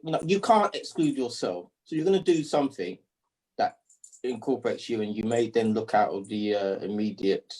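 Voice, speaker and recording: A man speaking as a panelist in an online discussion, reflective and questioning, often pausing to search for words. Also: medium pitch, darkish tone, rough recording.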